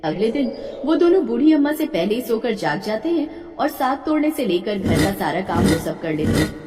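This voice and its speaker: A woman's voice: a little high, sing-song, normal speed, over music. A woman narrating a scripted folk tale as a storyteller. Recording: echoey reverberant distant voice, faint noise.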